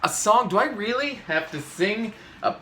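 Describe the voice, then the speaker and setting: A man's voice: high voice, animated, moderate pace, bright, forceful tone, pitched above the performer's own voice. A man voicing an exasperated grown-up reader of a children's storybook, mock-indignant and pleading. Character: exasperated grown-up reader forced to say silly things. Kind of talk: animated children's read-aloud.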